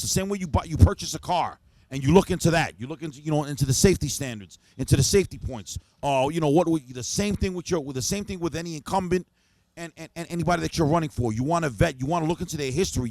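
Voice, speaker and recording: Man, podcast co-host, gravelly rasp, dry close sound, brash, teasing, sing-song bits. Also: fairly high pitch, expressive, fast talker, a little mellow, no echo. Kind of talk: casual banter. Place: podcast studio.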